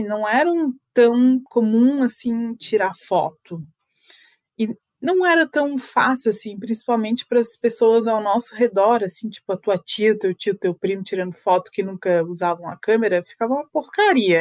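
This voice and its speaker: A woman's voice: mid-range voice, no room sound. A middle-aged woman, talking through a personal monologue in a chatty, confessional way with little laughs between thoughts. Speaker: podcast host.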